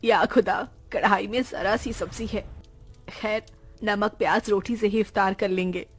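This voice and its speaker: A woman voicing a poor, loving, worried village aunt in a cartoon, with a husky voice. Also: mid-range voice, fairly even intonation, a little slow. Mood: caring.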